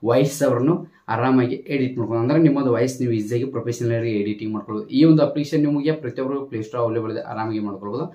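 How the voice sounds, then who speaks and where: A man with a mid-pitched voice talks very fast through a casual app tutorial. He instructs step by step, repeating himself and dropping in English tech words. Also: mellow, highs cut off.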